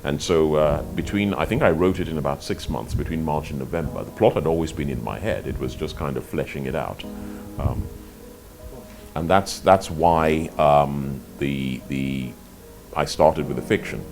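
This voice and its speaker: Mature male author, extremely low voice, from Nigeria, measured interview talk, hesitant repetitions, faint music behind. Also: gravelly. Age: 50s or older.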